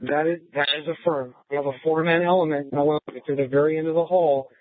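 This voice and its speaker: A man's voice: a little high, fairly even intonation, fast, no echo, phone-quality sound. A male police officer reporting in over a police radio, heard on a scanner. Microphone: two-way police radio.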